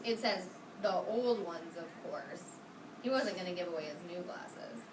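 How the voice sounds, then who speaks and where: A woman's voice: on the low side, animated, moderate pace. A woman teaching kindergarten, reading a storybook aloud interactively, asking questions and praising her young pupils in an encouraging way. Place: indoor kindergarten classroom. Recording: roomy, distant mic, noisy classroom.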